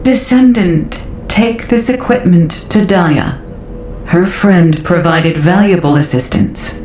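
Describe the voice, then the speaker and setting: A cool alien Magister who speaks from a distance above humans, formal and measured. Her voice sits low for a woman and rings with an echoey reverb, with music swelling underneath. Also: darkish tone. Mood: aloof. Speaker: Magister from a rival faction. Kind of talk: video game cutscene acting.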